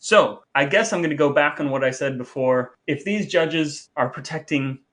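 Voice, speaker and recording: A man's voice: on the high side, normal speed, a bit bright. A man co-hosting a podcast in casual, humorous chat, with a playful, dry way of talking. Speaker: podcast co-host.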